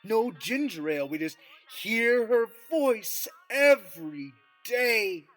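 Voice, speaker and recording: Jittery, rambling male patient, radio drama; high voice with big swings in intonation. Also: expressive, slow, a bit bright, soft background music, exaggerated voice. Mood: exasperated, frantic. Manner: rambling, agitated.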